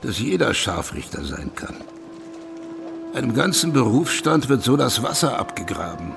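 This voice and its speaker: An actor playing a matter-of-fact professional executioner in a radio drama, speaking in a measured, officious way. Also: male voice, mid-range voice, big pitch swings, gravelly, music in the background. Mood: dry, sceptical.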